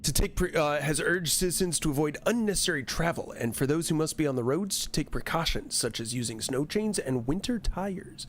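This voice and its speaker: Higher-pitched man streaming over background noise. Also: expressive, brisk, few pauses, fairly bright tone. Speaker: streamer playing a horror game. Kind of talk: casual game commentary, reading on-screen news aloud.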